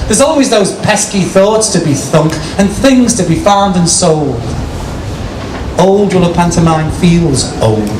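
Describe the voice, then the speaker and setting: Male performance poet for live outdoor recital; theatrical, drawn-out phrasing, heard from a distance over noisy ambience. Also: high-pitched, lively intonation, roomy sound. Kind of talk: dramatic live poetry recital with casual asides. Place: outdoor city square, poetry tent. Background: street ambience and passers-by noise.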